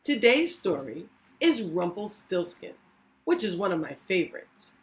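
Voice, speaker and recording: A woman hosting storytime, reading a children's story aloud with expressive, deliberate phrasing. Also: on the low side. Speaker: storytime host, reading teacher.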